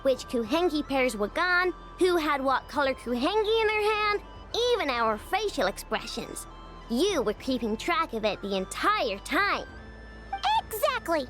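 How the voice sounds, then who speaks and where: A woman voicing a small, excitable, cute and easily indignant girl companion in a video game, with a squeaky, dramatic delivery. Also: unhurried. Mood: indignant, accusing. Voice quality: squeaky, childlike. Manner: exclamatory, dramatic. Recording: game music behind the voice.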